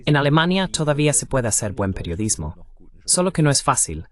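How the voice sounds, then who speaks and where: A man voices an inquisitive interviewer in a translated interview. His pitch is average for a man and moves about a lot. He puts questions as a voice-over. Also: sing-song, brisk, close to an everyday voice. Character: inquisitive adult male interviewer.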